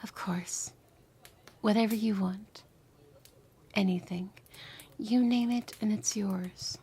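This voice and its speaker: A woman acting a lovesick, obsessive former bully back from the future in an intimate ASMR roleplay, speaking in an emotional, tender, halting way with a breathy voice that often drops to a whisper. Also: mid-range voice, steady tone, warm tone, full character voice. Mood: tender, devoted.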